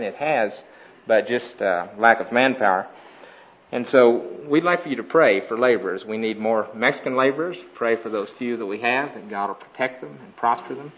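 A man giving an earnest mission report to a conference, speaking steadily with frequent pauses. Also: mid-range voice. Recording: dull lo-fi recording.

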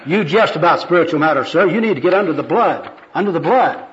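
A man's voice: on the high side, expressive, quick pace, slight background noise, lightly acted. A man reading a skit, voicing a kindly old evangelist who is earnest, genteel and pompous with church jargon. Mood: fervent. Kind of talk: amateur skit read aloud. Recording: dull, lo-fi recording.

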